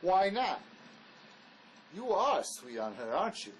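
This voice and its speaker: Man acting high-voiced, argumentative fugitive convict. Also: sing-song, a little slow, a little hiss or hum, rough recording. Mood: challenging. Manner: argumentative, insistent. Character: wary, blunt male fugitive convict, argumentative and pragmatic. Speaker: actor. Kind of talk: dramatic dialogue reading.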